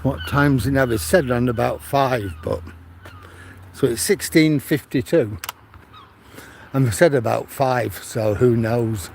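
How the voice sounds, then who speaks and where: A man's voice: mid-range voice, slow, vocal fry, slight background noise. An older man from Manchester, retired, chatting casually while walking his dog outdoors on a seaside promenade and filming with a phone on a gimbal. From Manchester, England. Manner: chatty, rambling storytelling with frequent restarts. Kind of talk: casual walking livestream chat.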